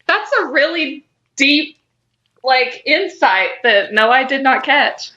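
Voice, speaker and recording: A woman's voice: high voice, lively intonation, slow pace, sharp tone, muffled recording. A woman co-hosting a podcast, chatting casually and expressively about a cartoon. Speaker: podcast co-host.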